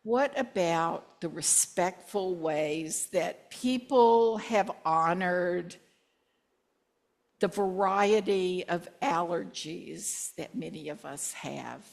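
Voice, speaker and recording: Older lady minister, slow and chatty, joking about old memories in church. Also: fairly low pitch, gravelly. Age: 60s. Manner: slow, warm-humored reminiscing with asides. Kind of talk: reflective sermon with congregation sharing. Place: church sanctuary.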